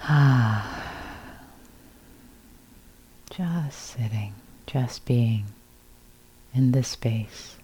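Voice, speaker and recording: A woman meditation teacher leading a guided meditation in a soft, breathy, gentle voice, with short phrases set between long silences. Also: a bass voice, very slow, long pauses, poor audio.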